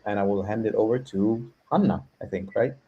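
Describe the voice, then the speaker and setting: A man from Italy, a designer and podcast host, speaking in a measured way with thoughtful pauses. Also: on the low side, breathy edge, narrow, tinny audio. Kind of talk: casual panel conversation.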